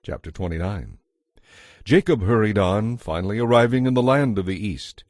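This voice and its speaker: A man narrating scripture as a dramatized story, in an expressive, measured way. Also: on the low side, no room sound, studio quality.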